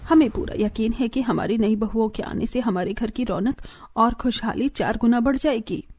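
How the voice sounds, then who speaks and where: A woman's voice: on the high side, normal speed, a little mellow, lightly acted. A woman voicing a cheerful, welcoming middle-aged mother-in-law in a cartoon story. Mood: joyful, welcoming. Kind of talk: cartoon family drama dialogue.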